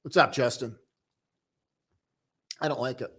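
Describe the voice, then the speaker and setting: A young man casually hosting a live stock-trading stream, chatting loosely and joking with viewers in a relaxed, tired way. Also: mid-range voice, measured pace, husky. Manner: loose, joking, full of restarts and "dude". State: tired, low on coffee.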